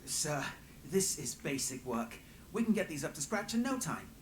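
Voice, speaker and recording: An earnest young craftsman in a fantasy game, polite and deferential. His voice sits fairly high and moves animatedly. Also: big pitch swings, normal speed, a little hiss or hum. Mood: grateful, keen. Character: eager, deferential young male artisan twin. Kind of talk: dramatic video game dialogue.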